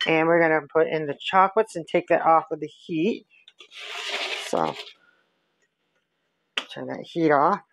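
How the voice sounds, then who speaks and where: Creaky-voiced woman explaining a recipe. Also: deep voice, slight background noise, poor audio. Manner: chatty step-by-step explaining while stirring. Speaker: home cook. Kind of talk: casual cooking demonstration. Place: home kitchen. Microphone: camera on counter.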